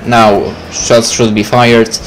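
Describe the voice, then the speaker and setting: A man's voice: medium pitch, level tone, takes it slowly, low-quality recording. A man, a native Polish speaker, hosting a game let's play, reading aloud and commenting casually. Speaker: gaming video host. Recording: faint game music behind.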